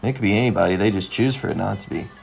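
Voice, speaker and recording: A man's voice: fairly low pitch, very fast, gravelly, faint music behind. A man voicing a moody, nostalgic young man in a cartoon, speaking in an earnest, hesitant, melodramatic way. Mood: earnest, wistful. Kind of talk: animated comedy-drama dialogue.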